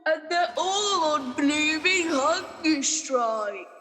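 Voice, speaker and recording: A woman as a brash, highish-voiced prison guard. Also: animated, slow, bright, forceful tone, big-room sound, heavily acted. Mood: grumbling. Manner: colloquial, brash. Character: blunt, wheedling comic working-class prison guard. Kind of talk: comic radio play acting.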